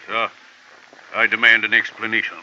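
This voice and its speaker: An actor playing a blustering older colonel and horse owner, pompous and old-fashioned, in a vintage radio drama on a dull old recording. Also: male voice, fairly low pitch, monotone, moderate pace, pushed and bright. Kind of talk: 1950s radio drama acting.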